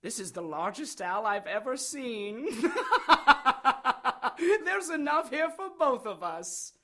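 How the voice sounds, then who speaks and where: A man's voice: extremely high pitch, big pitch swings, takes it slowly, pushed and bright, heavily acted. An actor playing an impudent, wheedling little henchman in an audio drama, sardonic, glib, mocking and giggly. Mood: gleeful, irritated.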